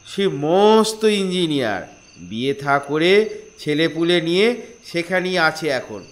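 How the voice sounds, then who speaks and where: A man's voice: a little high, a little slow, natural voice. A man voicing an elderly father in a comedy cartoon, sentimental and theatrical, both scolding and doting. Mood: fatherly, proud. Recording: faint music behind.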